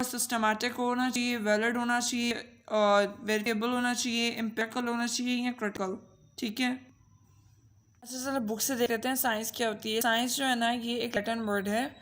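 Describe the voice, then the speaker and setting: A woman's voice: on the high side, a bit bright. A Pakistani woman giving an informal, chatty lesson through a voice changer, mixing languages with joking asides to students. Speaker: tutor. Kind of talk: informal lesson mixing Urdu, Punjabi and English.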